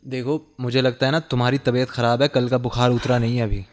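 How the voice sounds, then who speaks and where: Actor as a guarded, wounded husband, reverberant. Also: male voice, medium pitch, flat delivery, close to an everyday voice. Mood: evasive, weary. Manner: halting, guarded, emotionally strained. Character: wounded, withdrawn young husband hiding resentment behind duty. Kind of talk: Hindi radio drama acting. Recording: long hall reverb, faint music behind.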